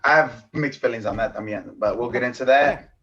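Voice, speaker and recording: A man's voice: mid-range voice, a little fast, sharp tone, dull audio, slight background noise. A man co-hosting a podcast in casual chat, laid-back, tossing in Spanish slang. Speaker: podcast co-host.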